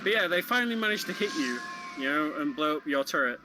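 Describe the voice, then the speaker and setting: A man's voice: on the high side, lively intonation, fast talker, noisy background. A man giving casual running commentary on a video game, explaining how it plays. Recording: game music under the voice. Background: loud game music.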